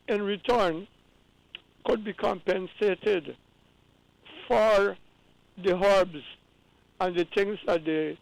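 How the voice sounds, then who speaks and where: A high-voiced, gravelly man from Guyana phones in to a talk show. He explains at length, earnest and patient, often restarting his sentences. His voice sounds dry and close. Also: slow pace, pauses often, no echo, low-quality recording. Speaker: caller. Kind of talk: call-in radio explanation.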